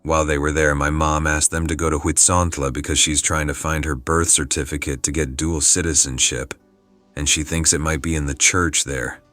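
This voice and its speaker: Very deep, flat, bright-toned male narrator. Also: normal speed, dry recording. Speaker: narrator reading forum posts. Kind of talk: scripted narration. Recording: music underneath.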